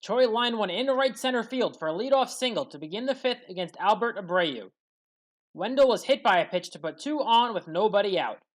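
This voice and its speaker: A woman giving scripted play-by-play recap narration as a sports highlights commentator.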